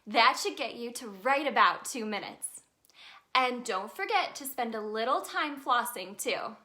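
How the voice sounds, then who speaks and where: A woman reading a children's picture book aloud as a storyteller, in an expressive, sing-song manner aimed at young children. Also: a little high, measured pace. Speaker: storyteller in character as the Tooth Fairy.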